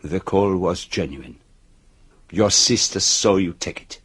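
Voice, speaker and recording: Shrewd, deliberate detective. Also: male voice, low voice, a bit bright, husky, full character voice. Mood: accusing, assured. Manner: deliberate, theatrical, building points step by step. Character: shrewd, methodical male detective unmasking a killer. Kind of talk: radio drama acting.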